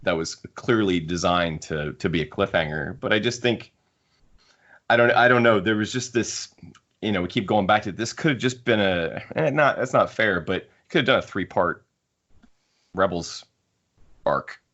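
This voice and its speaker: A man's voice: low-pitched, moderate pace, fairly bright tone. A man hosting a podcast, casually discussing a book and thinking aloud as he rambles. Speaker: podcast host. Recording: dull lo-fi podcast audio.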